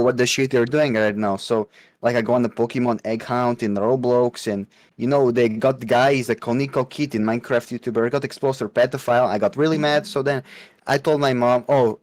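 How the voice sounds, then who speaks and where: A man's voice: mid-range voice, steady tone, brisk, a little mellow, no echo. Rambling man. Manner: absurd, broken grammar, slangy. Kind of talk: rambling deadpan joking in a casual online group chat.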